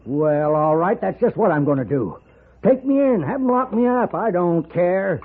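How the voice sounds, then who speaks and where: An actor playing a cantankerous, wounded old outlaw with an American accent, grumbling and stammering in a folksy way in a 1950s radio Western. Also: male voice, sits high, expressive, moderate pace. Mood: stubborn. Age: older. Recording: noisy, phone-like 1950s radio recording.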